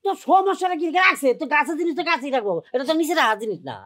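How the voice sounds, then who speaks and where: An actor playing a protective, breezy village uncle with a Bangladeshi accent, arguing glibly in a comic family drama. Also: male voice, extremely high pitch, rapid-fire, no room sound. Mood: defiant, glib. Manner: argumentative, glib, animated.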